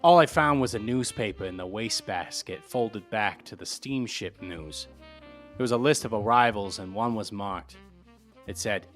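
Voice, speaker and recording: An actor playing a wry, world-weary private detective in a 1940s noir radio drama, speaking in a clipped, laconic way. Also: male voice, fairly low pitch, a bit bright, close to an everyday voice. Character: tough, wry hard-boiled private detective.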